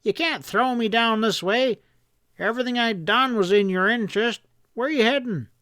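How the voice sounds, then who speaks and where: A man's voice: extremely high pitch, normal speed, dry recording, studio quality, voice pushed up. A man voicing a scheming, cowardly outlaw who whines and pleads when frightened. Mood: whining. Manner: excited, whining.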